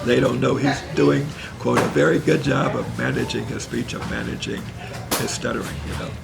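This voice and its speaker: An older man, a minister and retired engineer, telling his story in an interview in a measured, reflective way, with a mild stutter and occasional word swaps. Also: medium pitch, steady tone, creaky. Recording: loud background noise.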